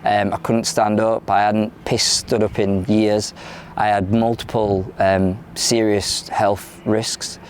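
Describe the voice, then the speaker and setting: A man in his thirties with an English accent from London, born in Ireland, speaking frankly in a candid interview, often pausing and restarting his sentences. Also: on the low side, flat delivery, slight background noise. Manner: frank and plain-spoken, with frequent hesitations and restarts. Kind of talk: candid personal testimony.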